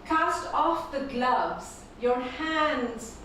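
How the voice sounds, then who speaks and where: Urdu-speaking woman reading poems real slow, kinda high voice, big echo, mic far off. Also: a bit bright, slight background noise. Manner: slow measured recitation, repeating each first line. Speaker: featured poet. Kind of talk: poetry reading with spoken introductions. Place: performing arts venue. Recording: echoey hall from far off.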